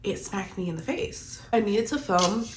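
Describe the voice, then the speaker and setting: A woman's voice: medium pitch, animated, moderate pace, a little hiss or hum, low-quality recording. A young woman with a congested, stuffy voice, chatting casually about her reading in a rambling vlog, full of "like". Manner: chatty, heavy use of filler "like". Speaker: book vlogger.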